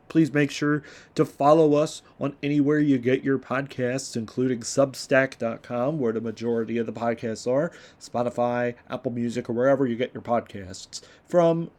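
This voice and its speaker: A man with an American accent introducing a podcast like a radio host, rambling and wordy. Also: medium pitch. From Indiana, United States. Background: music under the voice.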